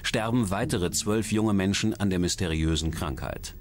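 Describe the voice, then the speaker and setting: A man's voice: sits low, moderate pace, background noise. A man reading scripted true-crime documentary narration as the narrator. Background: background music.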